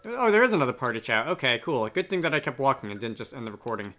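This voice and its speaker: A man hosts a casual game playthrough in a bright, forward voice of middling pitch. He talks haltingly and self-deprecatingly, with music playing behind him. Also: big pitch swings. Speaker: Let's Play host. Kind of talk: casual game commentary, reading dialogue aloud.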